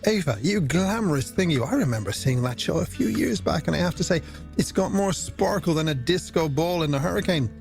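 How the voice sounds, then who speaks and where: A man's voice: on the high side, animated, fairly quick, vocal fry, darkish tone. A man presenting a late-night music radio show in a chatty, scripted style, with playful, wordy patter aimed at the listener.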